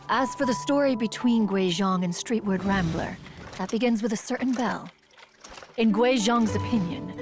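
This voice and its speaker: A woman's voice: medium pitch, lightly acted. A woman playing a proud, wistful ancient female immortal in a game, speaking in formal, archaic, pompous phrases. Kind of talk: scripted game dialogue, storytelling. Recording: long hall reverb, music behind. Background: music.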